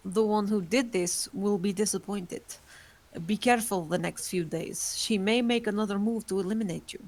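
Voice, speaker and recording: A woman voicing an ancient female vampire who speaks in a calm, formal way. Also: mid-range voice, normal speed, close to an everyday voice. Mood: composed. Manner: composed, formal. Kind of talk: amateur online table read.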